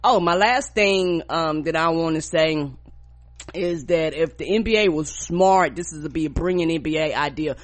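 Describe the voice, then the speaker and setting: A woman co-hosting a podcast, stuffed up with a cold, telling stories in a casual, profane, animated way. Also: deep voice, normal speed. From rural United States. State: congested from a cold. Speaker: podcast co-host.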